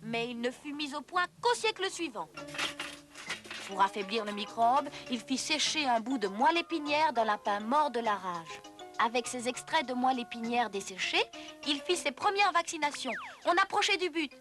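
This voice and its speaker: A woman narrates an educational cartoon from a script. Her voice is high, and she explains things like a storyteller. Music is clearly present underneath. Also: lively intonation, normal speed.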